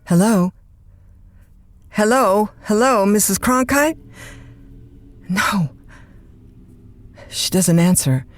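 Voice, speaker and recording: A woman's voice: medium pitch, extremely slow, pauses often. A woman playing a polite, flustered overnight radio host in an audio drama, folksy and courteous in manner. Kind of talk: naturalistic radio drama acting.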